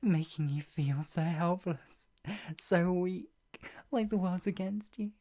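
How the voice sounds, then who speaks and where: An actor playing a sadistic, gloating yandere in an ASMR roleplay, with a breathy, husky voice that often drops to a whisper. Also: medium pitch, mellow. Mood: gloating, excited. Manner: menacing, slow deliberate phrasing with sighs. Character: sadistic, gloating, murderous yandere obsessive taunting a captive. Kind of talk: improvised ASMR roleplay, intimate monologue.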